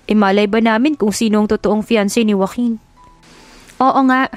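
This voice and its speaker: A woman's voice: mid-range voice, steady tone, moderate pace, dry recording, soft background music, close to an everyday voice. Woman doing a chatty female village neighbour. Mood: protective. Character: plain-spoken, protective female village neighbour, gossipy onlooker. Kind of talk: audiobook character acting.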